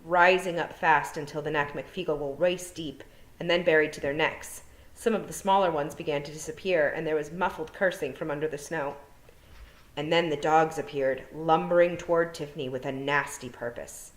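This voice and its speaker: A woman narrating a children's fantasy novel as a storytime reader. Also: low-pitched. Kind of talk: storybook narration read aloud. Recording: roomy echo.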